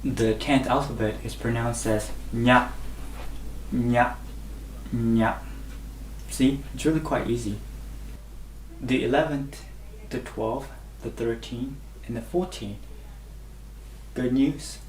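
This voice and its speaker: A man's voice: mid-range voice, steady tone, slow, pauses often, echoey room, a little hiss or hum, low-quality recording. A man whose first language is Burmese, teaching a language lesson and patiently repeating each sound three times. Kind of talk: slow instructional lesson.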